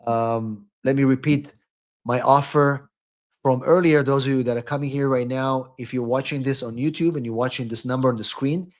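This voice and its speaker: Male live-stream host, attorney type, average pitch, informal advice delivery with repeated words and tag questions. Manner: repeats words, tags sentences with "right?". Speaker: immigration attorney hosting a live call-in stream.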